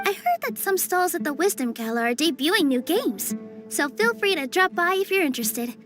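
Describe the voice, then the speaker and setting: Game contest announcer, upbeat, very high and lively. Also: female voice, moderate pace, exaggerated voice. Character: cheerful female contest announcer. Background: game music.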